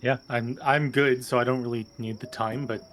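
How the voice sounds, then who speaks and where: A man's voice: medium pitch, steady tone, moderate pace, fairly bright tone, poor audio. A man playing a tabletop roleplaying game, describing his actions in an informal, tentative way. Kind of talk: casual roleplay table talk.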